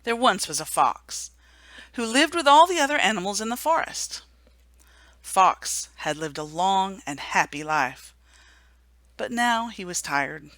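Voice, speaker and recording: Slow, gentle woman reading a storybook. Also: medium pitch, very animated, no echo. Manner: gentle, hushed at sad moments. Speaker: story reader. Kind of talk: storybook reading at a memorial service.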